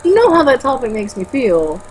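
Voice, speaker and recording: A woman's voice: on the high side, very animated, fairly quick, darkish tone, a little hiss or hum. A woman reading a novel aloud and breaking into exasperated, sarcastic, sweary commentary and casual banter.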